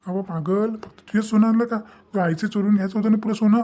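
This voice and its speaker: A man's voice: high-pitched, steady tone, brisk, dark tone, lightly acted. A man voicing a pushy, glib young village boyfriend in a cartoon, with a Vidarbha Marathi accent. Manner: coaxing, glib. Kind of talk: amateur cartoon dialogue.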